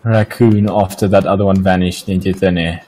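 A man's voice: sits low, fairly even intonation, moderate pace, darkish tone. A young man with a South African accent, chatting casually as he plays a video game with friends. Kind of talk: casual gaming chat.

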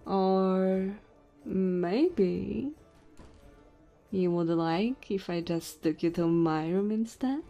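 Woman playing a slow-talking tipsy goblin innkeeper woman. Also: mid-range voice, vocal fry, warm tone, faint music behind. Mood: teasing, flirty. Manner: teasing, intimate, drawn-out drunken musing. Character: tipsy, flirty, short female goblin innkeeper. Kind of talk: ASMR audio roleplay.

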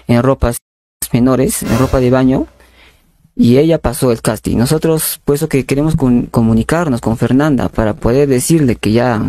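A young man making a prank phone call as a polite, insistent recruiter, halting and repeating his words. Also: medium pitch, dark tone. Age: young adult. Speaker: prank caller. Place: small bedroom studio indoors. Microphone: condenser microphone on a boom arm, close.

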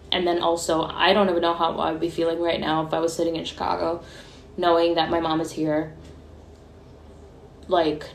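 A woman's voice: on the low side, roomy sound, a little hiss or hum, poor audio. A young woman from Ukraine, speaking earnestly into a phone held at arm's length, with many fillers and restarts. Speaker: vlogger. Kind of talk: selfie video diary. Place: small room indoors, street outside for some clips.

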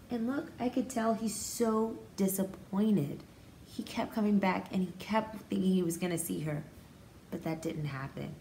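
An American woman reads a picture book to small children in an echoey room with faint noise behind her. Her voice is mellow and very animated, and she pauses to explain things with emphasis, like a teacher. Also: medium pitch. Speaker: pre-K teacher. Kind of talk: picture book read-aloud with commentary for children.

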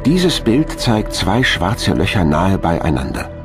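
A man's voice: deep voice, very animated, slow pace. A man reading scripted narration for a science documentary as a studio voice-over. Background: background music.

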